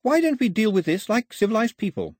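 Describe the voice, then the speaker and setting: Audiobook heroine, played by a man: quick-witted young woman, high, breathy, pleading yet defiant, very lively intonation. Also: no room sound, clean studio sound. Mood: bold bargaining. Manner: urgent, articulate, pleading.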